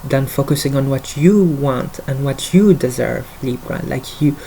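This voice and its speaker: Slow, halting man with a French accent. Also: medium pitch, vocal fry, mellow, a little hiss or hum, low-quality recording. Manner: hesitant, full of "like" and "um" fillers. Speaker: tarot reader. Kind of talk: casual tarot card reading.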